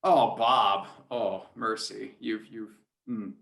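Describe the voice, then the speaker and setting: A man's voice: fairly low pitch, fairly even intonation, takes it slowly, vocal fry, a bit bright. A man who works as a sports announcer, talking casually as a guest in a hesitant, rambling, good-humored way. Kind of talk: casual chat.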